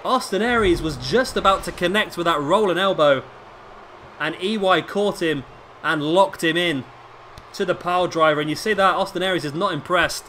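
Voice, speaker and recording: Hoarse man commentating, noisy recording. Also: high voice, normal speed, sharp tone. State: hoarse with a sore throat. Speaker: gaming video host and commentator. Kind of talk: casual play-by-play wrestling game commentary. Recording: clearly noisy home recording.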